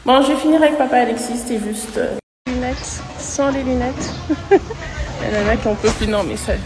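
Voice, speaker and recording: A high-pitched woman talks casually as a vlogger, recounting her day in a chatty, animated manner. Loud background noise of vehicles and street surrounds her voice. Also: normal speed. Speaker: vlogger and real estate agent. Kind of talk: casual vlog chat and storytelling.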